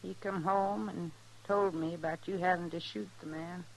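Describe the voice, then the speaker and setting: An actress playing a middle-aged, long-abused rural wife in a radio western, plain and quietly resolute, with a rural American accent. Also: female voice, lowish voice, fairly even intonation, gravelly. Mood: quiet bitterness. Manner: plain, unhurried, folksy. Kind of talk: 1950s radio western acting. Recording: noisy old radio drama recording.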